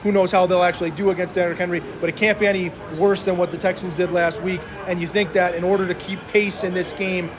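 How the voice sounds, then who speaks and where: A man's voice: sits high, level tone, fast, few pauses, a crowd in the background. A man co-hosting a sports betting podcast, talking through games casually with frequent hesitations.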